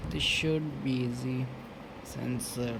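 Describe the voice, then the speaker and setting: A man voicing a reluctant, low-key adventurer from a video game in a hesitant, unenthusiastic way. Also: steady tone, takes it slowly, vocal fry, very noisy.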